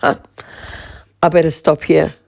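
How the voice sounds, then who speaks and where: Slow, husky-toned woman podcast host. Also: sits low, little pitch movement, darkish tone. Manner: slow, measured, lingering on lists. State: voice strained, losing her voice. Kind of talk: reflective spoken podcast monologue.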